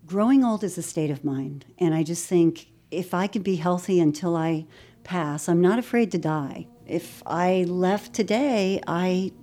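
A woman's voice: on the low side, a little slow, warm tone. A sixty-year-old woman, a yoga instructor and studio owner, speaking upbeat and confident in an interview, laughing easily. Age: 60s. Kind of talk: interview reflections.

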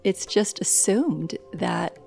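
Slow-spoken woman in her sixties. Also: fairly low pitch, lively intonation, creaky, dark tone, soft background music. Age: 60s. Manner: slow, earnest, laughs lightly. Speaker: yoga instructor and life coach. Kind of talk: interview reflections.

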